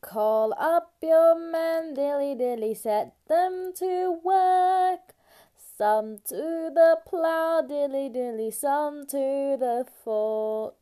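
A woman singing a traditional lullaby as a slow, simple sung verse. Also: sits high, mellow, faint music behind. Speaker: singer.

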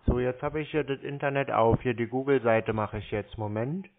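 A man's voice: medium pitch, fairly even intonation, normal speed. Grumbling man on a phone-like line. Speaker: scam baiter posing as a victim on a call. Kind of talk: phone conversation, stalling. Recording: narrowband telephone-like sound.

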